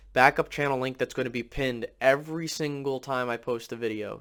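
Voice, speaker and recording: A man hosting a casual sports commentary, sounding under the weather with a slightly raspy, nasal voice and rambling as he thinks aloud. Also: mid-range voice, fairly bright tone. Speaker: sports channel host.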